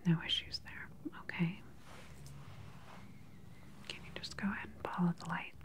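Woman, 30s, low-pitched whisper, calm research doctor for an ASMR exam roleplay, unhurried and close-miked. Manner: soft, unhurried, clinical questioning. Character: calm, attentive government research doctor examining a patient. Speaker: ASMR performer playing a doctor. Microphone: close ASMR microphone.

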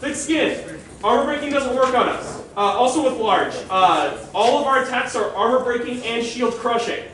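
A man's voice: extremely high pitch, animated, moderate pace, fairly bright tone, slight background noise. A man briefing a group on game rules in an emphatic, instructive way, answering questions as the organizer. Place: open-sided concrete park pavilion. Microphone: distant camera mic. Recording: echoey park pavilion, far camera, crowd chatter.

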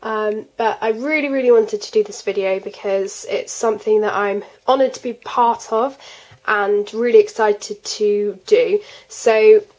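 Teenage female vlogger, fourteen, mid-range pitch, stuffed up with a cold, casual hobby-host chat. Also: steady tone, unhurried, darkish tone, low-quality recording. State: congested with a cold. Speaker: colouring channel host. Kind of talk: casual chat to camera, showing artwork. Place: indoors at a desk.

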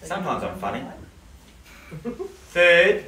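Joking New auctioneer in a room. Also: male voice, on the high side, sing-song, sharp tone. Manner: joking, rapid patter, repeating bids. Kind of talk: live property auction calling. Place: living room of a house. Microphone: camera across the room. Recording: roomy, distant camera audio.

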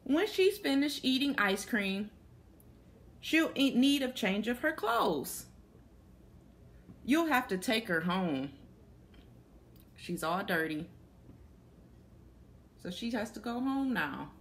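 A woman's voice: a little high, sing-song, slow pace, long pauses. A young adult woman reading a picture book aloud to children, stopping to put questions to her young listeners. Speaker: children's story reader. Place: small plain room indoors.

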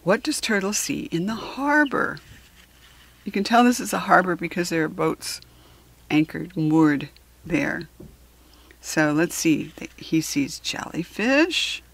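An American woman reading a children's book aloud in a deliberate, instructive way, adding chatty asides for young listeners. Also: on the low side, lively intonation, vocal fry, a bit bright, breathy edge. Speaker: children's book reader and host.

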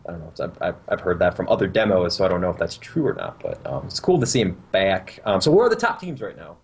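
A man's voice: deep voice, big pitch swings, fast talker, darkish tone, breathy edge. A young man with a North American accent, co-hosting a casual gaming talk show in an informal, rambling way. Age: young adult. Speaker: podcast co-host. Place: indoor room at home, video call.

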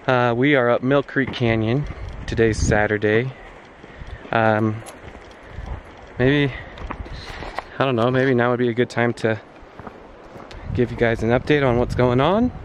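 A man of thirty chatting casually and haltingly to a handheld camera while walking outdoors on a trail, in an easygoing way. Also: mid-range voice. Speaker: vlogger. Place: outdoors on a wooded canyon trail. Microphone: handheld camera at arm's length. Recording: clear outdoor background noise on trail.

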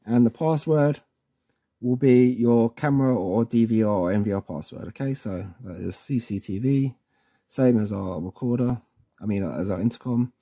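A man's voice: medium pitch, moderate pace, dark tone. A man presenting a casual instructional walkthrough in an informal, chatty way, often checking in with the listener. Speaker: tutorial presenter.

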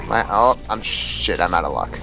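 A man's voice: sits low, sing-song, normal speed, gravelly, bright, forceful tone, soft background music, poor audio. A young man chatting and joking over voice chat while playing a zombie game, swearing freely. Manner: joking, swears freely, breaks into playful singing. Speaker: co-op player and friend of the host. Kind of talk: casual gaming chatter.

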